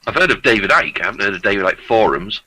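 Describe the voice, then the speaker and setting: A man arguing casually in an online voice chat, dismissive and quick to swear. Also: lowish voice, big pitch swings, sharp tone, slight background noise, poor audio.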